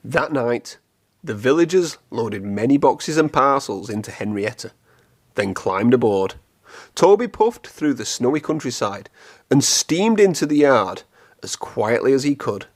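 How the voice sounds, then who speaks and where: A man reading a children's storybook aloud in an expressive, clearly phrased storytelling manner. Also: mid-range voice, a little slow. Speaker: storybook reader.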